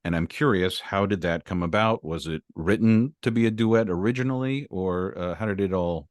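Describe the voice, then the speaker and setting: Deep-voiced male interviewer, dry sound. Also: normal speed, dry recording. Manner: curious, affirming, thoughtful questions. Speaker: interview host. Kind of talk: conversational interview questions.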